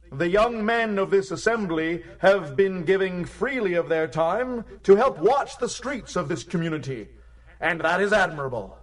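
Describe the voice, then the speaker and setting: An actor playing a fervent orator addressing an assembly in a radio drama, with grandiose, preacherly emphasis. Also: male voice, high-pitched, far from the mic. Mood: warmly commending. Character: fervent male rabble-rousing orator addressing a community assembly. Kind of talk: theatrical political speech in radio drama.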